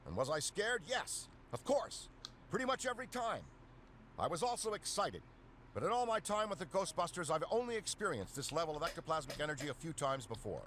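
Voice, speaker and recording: Animated, eager Ghostbuster scientist. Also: male voice, a little high, a little fast, a little hiss or hum. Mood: excited, foreboding. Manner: earnest, animated, dramatic. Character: eager veteran Ghostbuster scientist, an excitable middle-aged man. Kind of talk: scripted video game voice acting.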